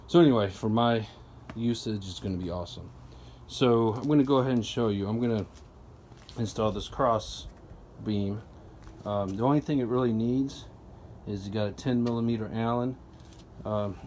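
A man casually explaining a tool setup while working with his hands, chatty and relaxed with plenty of ums. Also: fairly low pitch, slight background noise. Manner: relaxed, chatty, lots of ums and you-knows. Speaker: product reviewer. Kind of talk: casual how-to narration.

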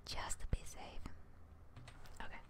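A young woman giving ASMR game commentary in a whisper, hesitant and softly muttering to herself, close to the mic. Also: slow pace. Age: young adult. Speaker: gaming streamer. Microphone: close studio mic, seen in front of her.

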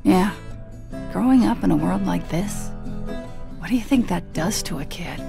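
A woman's voice: lowish voice, lively intonation, normal speed, creaky. An actress playing a concerned, reassuring survivor in a video game, a woman speaking earnestly. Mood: thoughtful. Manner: conversational, earnest. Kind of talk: dramatic video game acting. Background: plucked guitar music.

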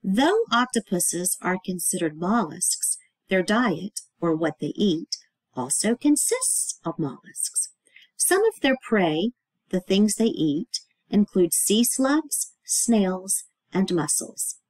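A woman's voice: mid-range voice, big pitch swings, slow pace, breathy edge, no room sound, poor audio. A woman reading aloud and explaining to young children as a teacher, stressing key words and putting questions to the listener.

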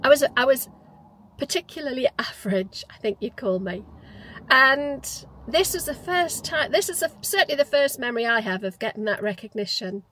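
A woman's voice: high-pitched, lively intonation, normal speed, sharp tone, slight background noise. A Geordie woman in her fifties telling a personal story as a vlogger, chatty and reflective, recorded in a parked car on a lapel mic. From North East England. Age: 50s. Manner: chatty, reflective, with frequent restarts.

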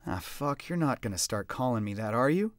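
Man playing a young trainee, weary, embarrassed, strained, raised pitch, dry. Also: lively intonation, a little fast, no echo, natural voice. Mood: protesting. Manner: strained, protesting.

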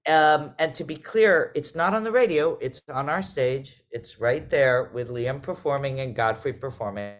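A middle-aged woman hosting a panel conversation over a video call in a welcoming manner. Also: sits low. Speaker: host, theater artistic director.

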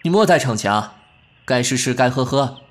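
An actor playing a proud, sharp-tongued but caring young man in an ancient-costume audio drama, huffy and scolding. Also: medium pitch, expressive, moderate pace, close to an everyday voice. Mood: huffy concern. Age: young adult.

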